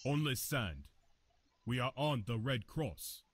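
A grave castaway speaks in husky, dry-sounding tones. He is terse and uses clipped, broken English, as a guide in a visual novel game would. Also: mid-range voice, very animated, takes it slowly, no room sound, full character voice. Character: terse castaway man, grave, guiding through jungle.

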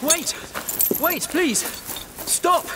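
A man's voice: very high-pitched, drawn-out words, noisy background, heavily acted. A man playing a kindly, earnest medieval priest who speaks politely in an audio drama. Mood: urgent.

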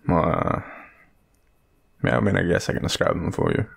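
A man's voice: low voice, very animated, measured pace, gravelly, breathy edge, full character voice. An Australian man playing an awkward, joking young guy with a secret crush, rambling and hesitant in an intimate, casual audio roleplay. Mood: flustered, shy. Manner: rambling, hesitant, self-deprecating, swearing.

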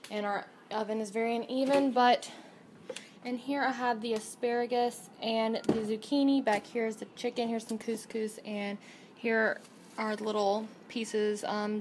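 A bubbly young woman with a slightly high voice rambles cheerfully into her phone at arm's length. She talks through her cooking and her day in a casual vlog style, with steady household noise behind her. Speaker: vlogger. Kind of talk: casual daily vlog chat. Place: home kitchen, indoors. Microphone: phone camera at arm's length.

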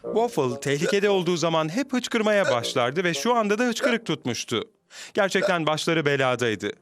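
Male narrator for kids' animation, animated intonation, slightly high register, storytelling delivery. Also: moderate pace, a crowd in the background. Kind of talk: scripted children's cartoon narration.

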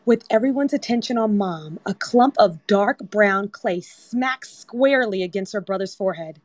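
A woman's voice: medium pitch, normal speed, darkish tone, dry recording. A woman reading scripted screenplay narration as the narrator of a table read. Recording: online group voice-chat app.

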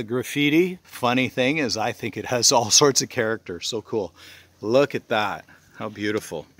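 A man's voice: lowish voice, a bit bright, dry recording. A middle-aged man filming his own hike outdoors, narrating casually and gushing about the scenery, with frequent laughs. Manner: enthusiastic, exclaims about the scenery, laughs often. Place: outdoors on a wooded island. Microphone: handheld camera.

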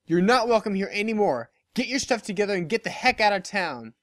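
A narrator voicing a brash, hostile young female pegasus pony, accusatory and forceful, in a story. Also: medium pitch, animated, a little fast, dry recording, clean studio sound, voice pushed up, close to an everyday voice. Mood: angry.

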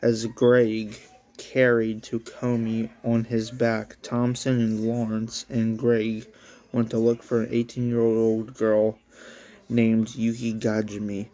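A man reading a story aloud in a halting, casual way with sweary asides, like an amateur read-along. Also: on the low side, completely flat delivery, warm tone. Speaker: amateur reader of own anime story.

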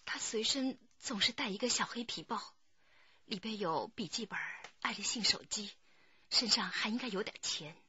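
A fierce, aggrieved wife in a radio drama. Her voice is raspy and bright, swinging widely in pitch. The sound is dry and close. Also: expressive, normal speed, no echo, lo-fi sound, heavily acted. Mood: subdued.

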